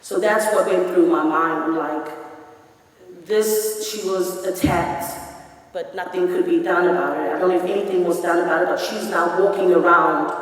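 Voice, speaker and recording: A woman's voice: lowish voice, steady tone, normal speed. A woman in her forties speaks earnestly and emphatically, telling her own story to a meeting. Her voice rings around a large, echoing hall. She sounds set back from the microphone. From South Africa. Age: 40s. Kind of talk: personal testimony. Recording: echoey hall, voice from far off.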